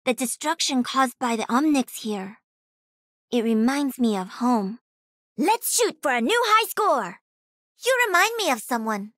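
A woman voicing a cocky, playful young female pro gamer and mech pilot in a video game, with a sassy, confident, energetic delivery. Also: high-pitched, a little slow, no echo, studio quality, exaggerated voice. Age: young adult.

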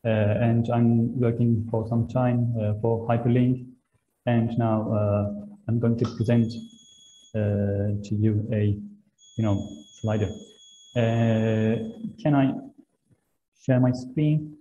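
Young male presenter, polite and halting, very mellow tone on a dull call. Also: medium pitch, fairly even intonation. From Turkey. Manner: hesitant, polite. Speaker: conference presenter. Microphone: wired earbuds on video call. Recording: muffled video call with faint noise.

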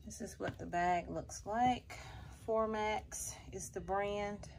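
A woman's voice: mid-range voice, fairly even intonation, slow pace, vocal fry, noisy background. A woman homesteading vlogger chatting casually while doing chores, rambling and thinking aloud, filmed on a handheld phone outdoors and in a cluttered shed. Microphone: handheld phone camera. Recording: background music playing over the voice.

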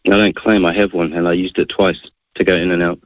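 A man's voice: deep voice, flat delivery, fast, no echo, rough recording. A middle-aged man with a New Zealand accent, answering radio interview questions assertively and defiantly. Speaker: guest.

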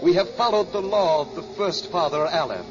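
Man playing last of his people, solemn, ceremonial, high-pitched, old radio drama, muffled recording. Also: animated, moderate pace, music in the background, lightly acted. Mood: solemn farewell. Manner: grand, ceremonial, declaiming. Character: solemn last man of a dying race, reverent. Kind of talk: 1950s radio drama acting.

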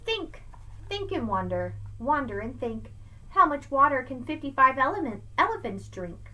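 A middle-aged woman speaks as a teacher to young children, as if in a storybook lesson at home. Her voice sits fairly high and moves in big, animated swings. She leans on key words expressively, picked up by a webcam in a small indoor room. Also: normal speed, low-quality recording. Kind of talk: read-aloud lesson for children, rhyming picture book. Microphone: webcam at arm's length.

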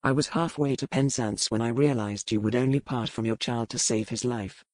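Guy doing a roguish pirate lord, measured n mocking, fancy formal talk, very dry sound, audiobook romance. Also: medium pitch, fairly even intonation, darkish tone, dry recording, lightly acted. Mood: earnest. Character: roguish, cool-headed aristocratic pirate lord, dangerous and mocking. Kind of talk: dramatised historical romance audiobook.

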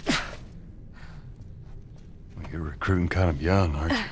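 A man playing a gruff, weary middle-aged smuggler in a game cutscene, terse and sardonic. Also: low voice, fairly even intonation, a little slow, a little hiss or hum. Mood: sceptical. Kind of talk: video game cutscene acting.

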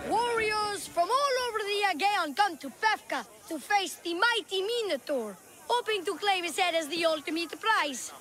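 A woman's voice: very high voice, animated, normal speed, bright, forceful tone, full character voice. A woman playing a cheeky young boy tour guide and sly con artist in a video game, talking in glib salesman patter with feigned innocence. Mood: glib salesmanship. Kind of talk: video game character acting.

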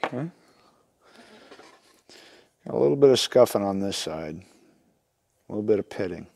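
Lower-voiced man giving a hands-on tutorial. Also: lively intonation, vocal fry, warm tone. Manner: plainspoken, unhurried step-by-step explaining. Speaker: instructor demonstrating lantern repair. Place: indoor wooden workshop at a workbench.

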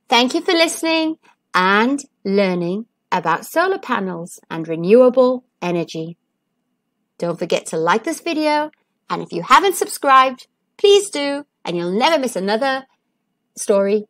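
A woman storyteller reading to young children. Her voice is high and swings widely in pitch, with expressive, deliberate emphasis on key words. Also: no echo. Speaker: storyteller and host.

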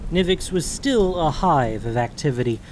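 A man's voice: on the high side, lively intonation, moderate pace, a little mellow, a little hiss or hum. A man reading scripted narration for a fantasy audio drama. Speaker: narrator and podcast host.

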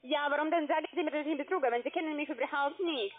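A woman's voice: sits high, racing. A woman speaking non-native German with a foreign accent, a polite but defensive call center agent on the phone. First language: not German. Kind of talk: phone sales conversation. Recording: tinny, narrow-band phone line.

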